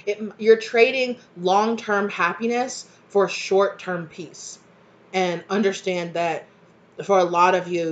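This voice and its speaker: A woman in her thirties, a little stuffed up from a cold, giving a chatty, reassuring tarot reading to her webcam in a home kitchen, with many fillers and asides. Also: on the low side. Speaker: tarot reader. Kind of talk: casual channeled tarot reading to camera. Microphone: webcam at desk distance. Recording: slightly dull webcam audio.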